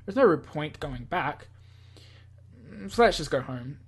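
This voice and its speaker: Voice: mid-range voice, big pitch swings, unhurried, slightly rough. A gaming video host reading a visual novel aloud with playful asides, often stumbling over words and self-correcting.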